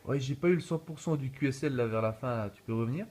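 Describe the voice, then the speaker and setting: A man's voice: medium pitch, fast, darkish tone. A man talking casually and easygoing as an amateur radio operator, recorded on a phone. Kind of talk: casual radio contact chat. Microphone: phone filming in video mode. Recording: noisy room recording on a phone.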